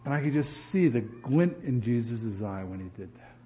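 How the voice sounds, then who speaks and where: A man from New Jersey preaching a sermon as pastor, animated and often repeating words as he builds emphasis. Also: medium pitch, normal speed, highs cut off, slight background noise.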